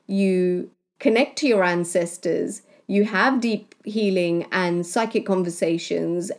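A woman from Kenya, a spiritual healer, talking in a slow, deliberate monologue that she punctuates with "right?". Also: mid-range voice, darkish tone. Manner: slow, deliberate, with tag questions. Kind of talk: reflective monologue.

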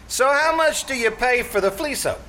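A man's voice: very high-pitched, animated, normal speed, pushed and bright, heavily acted. A man playing a brash tavern manager in old-time radio comedy, lecturing pompously in streetwise slang. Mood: pompous, know-it-all. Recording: faintly noisy live theatre stage recording.

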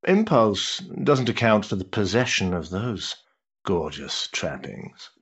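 An actor playing a worldly older duke, an amused, teasing schemer with an urbane, roguish manner in a period stage drama. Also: male voice, lowish voice, slow, breathy edge, lo-fi sound, exaggerated voice. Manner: urbane, roguish, patronising flattery with chuckles.

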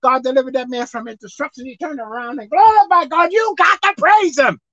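A woman preacher delivering a sermon with animated, call-and-response delivery, acting out bits of dialogue. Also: high voice, fairly quick, no room sound. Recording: dull lo-fi dry sound.

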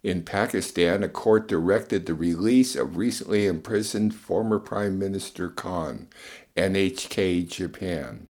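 A man with a lowish voice hosts a radio news program. He presents from a script, introducing reports and reading summaries. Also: measured pace, a little mellow. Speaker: radio show host.

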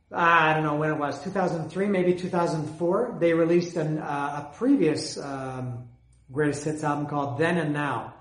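A man's voice: fairly high pitch, reverberant, rough recording. A man chatting casually about his music collection, rambling and hesitant, often repeating words, saying he is losing his voice. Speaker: music collector reviewing albums.

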